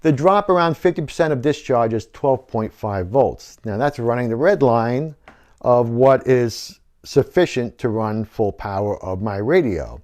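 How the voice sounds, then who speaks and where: Middle-aged man explaining gear, mid-pitched voice. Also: lively intonation, unhurried, darkish tone. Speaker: radio hobbyist presenting a DIY build. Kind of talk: informal technical walkthrough.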